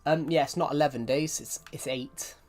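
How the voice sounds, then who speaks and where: A man's voice: fairly high pitch, normal speed, a little mellow. A young man from England with a Southwest English accent, chatting casually while streaming a game, rambling, restarting his sentences and reading viewer messages aloud. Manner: rambling, restarts a lot, reads chat aloud. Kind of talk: casual live-stream chat. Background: faint music and keyboard typing.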